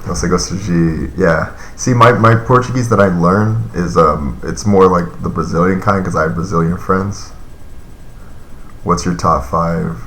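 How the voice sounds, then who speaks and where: A man's voice: low-pitched, a little slow, a bit bright, echoey room, a little hiss or hum. A man in his thirties chatting casually on a live stream, reading and answering viewer comments in a loose, rambling way with lots of fillers. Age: 30s.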